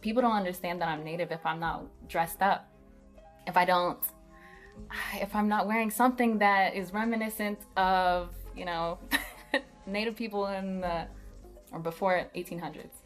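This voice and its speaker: A woman singer talks loosely in an interview, laughing and filling with "like" and "you know". Her voice sits low. Faint music runs beneath. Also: fairly even intonation, moderate pace. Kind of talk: casual interview answers.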